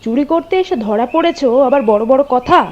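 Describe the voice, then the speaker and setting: A woman's voice: sits high, expressive, a little fast, dark tone, muffled recording. A woman playing a protective, fiery homemaker in a doll-story drama, speaking theatrically and emphatically. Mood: outraged scolding.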